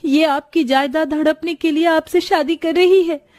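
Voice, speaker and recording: A woman's voice: sits high, fairly even intonation, normal speed, a little mellow, dry recording, studio quality, voice pushed up, lightly acted. A woman voicing a loyal, anxious young servant woman in a moral story, earnest and deferential. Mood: urgent pleading.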